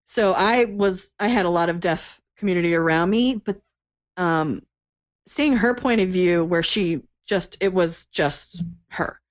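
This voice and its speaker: A woman's voice: lowish voice, a little slow, no echo. An enthusiastic woman in her forties co-hosting a podcast in a casual, chatty way that breaks into laughter easily. Her sound is dull and lo-fi, and the room is dry. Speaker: podcast co-host.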